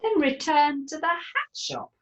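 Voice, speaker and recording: A woman reading a rhyming picture book aloud in an animated, theatrical way. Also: high voice, measured pace, background noise. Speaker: narrator.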